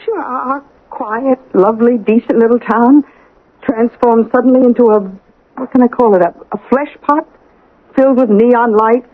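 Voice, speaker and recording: An actress playing an anxious, proper small-town wife, fretful and questioning, in a radio drama. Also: female voice, on the high side, steady tone, normal speed, close to an everyday voice. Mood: hesitant, earnest. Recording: narrow, lo-fi old radio broadcast.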